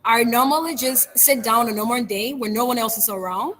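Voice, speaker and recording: A young woman with a Liberian accent answers interview questions in an earnest, measured way, laying out her points one by one. Her voice sits fairly high. A faint noise runs behind her. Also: a bit bright. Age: young adult. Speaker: guest, public speaker.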